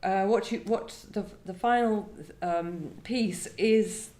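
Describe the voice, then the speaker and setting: A woman's voice: medium pitch, slow pace, darkish tone. A woman, a poet speaking as a guest at a live literary event in a reflective style. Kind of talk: reflective discussion and poetry reading. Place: echoey indoor venue at a live event. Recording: roomy, echoing live venue.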